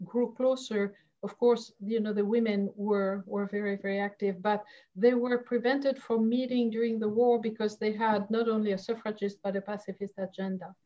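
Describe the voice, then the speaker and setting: A woman from France with a French accent, a history professor speaking on an online panel, pausing often with fillers and restarts. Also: on the high side, warm tone, lo-fi sound. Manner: halting, many fillers and restarts. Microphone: video call.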